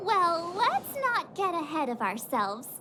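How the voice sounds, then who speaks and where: A very high-pitched perky girl adventurer. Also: huge pitch swings, slow pace, sharp tone, slight background noise, full character voice. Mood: upbeat, confident. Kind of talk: video game voice acting. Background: music.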